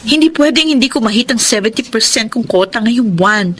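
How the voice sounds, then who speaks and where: An actress plays a driven young saleswoman in a Filipino radio drama. Her voice sits a little high and she answers in short, matter-of-fact phrases, stubborn about what she wants. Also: expressive, fairly quick, slight background noise, lightly acted. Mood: assertive.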